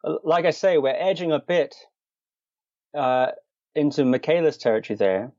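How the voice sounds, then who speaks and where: A man's voice: on the high side, lively intonation, moderate pace, darkish tone, no echo, highs cut off. A man from the Shetland Islands in Scotland telling stories as an interview guest, with dry, wry humour and a hesitant, qualifying way of talking. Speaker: interview guest, meditation and martial arts practitioner. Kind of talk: conversational interview, storytelling.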